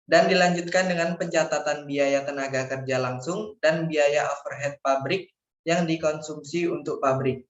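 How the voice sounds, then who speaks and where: Young guy reading slides formally like a student, echoey dull lo-fi mic. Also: a little high. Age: young adult. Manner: formal, recited, stumbling over words. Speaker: university student presenter. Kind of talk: scripted slide presentation, read aloud. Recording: echoey room, dull lo-fi microphone.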